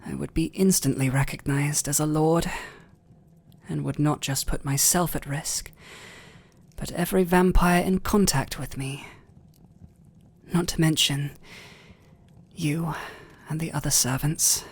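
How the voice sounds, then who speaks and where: Noble British vampire lord, played by a man, ASMR audio drama: husky, unhurried, restrained and hesitant, tormented tenderness. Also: fairly high pitch, fairly even intonation, heavily acted. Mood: grave, explaining. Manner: formal, hesitant, breathy half-whispers. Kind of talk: intimate ASMR roleplay acting.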